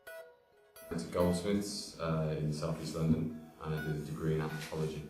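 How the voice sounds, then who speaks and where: Deep-voiced young man, hesitant. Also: level tone, normal speed, darkish tone, soft background music. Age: 20s. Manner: hesitant, with many uh fillers. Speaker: returned volunteer. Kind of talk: interview answers. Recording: echoey room from far off.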